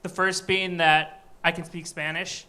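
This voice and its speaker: A man's voice: on the high side, little pitch movement, unhurried, bright, forceful tone. A man from Michigan doing a live stand-up set on a comedy club stage, bantering wryly with the crowd. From Michigan, USA. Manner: wry, chatty crowd banter.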